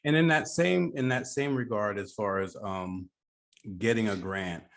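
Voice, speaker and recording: A man's voice: fairly low pitch, unhurried, creaky, fairly bright tone. A man hosting a podcast, interviewing his guest in a casual, conversational style. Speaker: podcast host.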